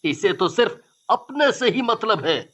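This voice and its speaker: A man's voice: high-pitched, very animated, a little slow, bright, forceful tone, husky, exaggerated voice. An actor playing an exasperated young husband and devoted father in a story, reproachful and earnest.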